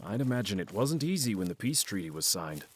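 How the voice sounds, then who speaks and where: A man acting in a fantasy audio drama as an earnest middle-aged father and guardian, explaining things in a measured way with hesitant pauses. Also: fairly low pitch, very animated, husky. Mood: sympathetic.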